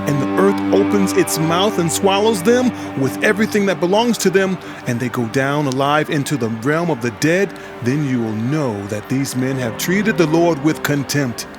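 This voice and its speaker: A man's voice: on the high side, moderate pace, few pauses, music in the background, voice pushed up, close to an everyday voice. A man voicing an old prophet who speaks earnestly and warns the people. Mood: solemn. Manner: earnest, warning. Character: solemn old male prophet and leader.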